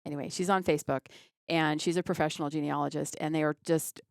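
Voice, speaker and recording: A low-voiced woman with a gravelly, fry-tinged edge talks in an easy podcast chat. She explains step by step and thinks aloud with asides. The sound is close and dry. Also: fairly even intonation, fast, no room sound. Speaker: genealogy society guest. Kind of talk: casual podcast conversation.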